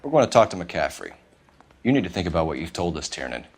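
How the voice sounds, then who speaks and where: A man's voice: low-pitched, very animated, quick pace, breathy edge, exaggerated voice. An American man voicing a stern, accusing young police detective in a crime drama video game, clipped in his questioning. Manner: clipped, forceful interrogator.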